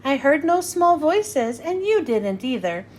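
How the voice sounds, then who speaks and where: A woman's voice: high voice, sing-song, unhurried, a little mellow, a little hiss or hum. Woman as a snappish, scornful kangaroo. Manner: curt, dismissive.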